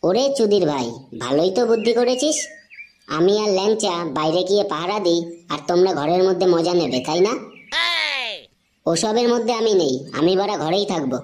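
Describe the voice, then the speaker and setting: A woman's voice: fairly low pitch, expressive, fairly quick, a crowd in the background, lightly acted. A woman voicing a cheeky, suspicious, foul-mouthed young boy in a Bengali comedy cartoon, slangy and insolent. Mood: complaining.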